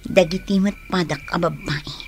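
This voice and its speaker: A woman's voice: lowish voice, steady tone, normal speed, over music, natural voice. A woman acting a loyal, resolute older sister in an Ilocano radio drama, subdued in manner, with an Ilocano accent. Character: loyal, steady older sister, quiet accomplice.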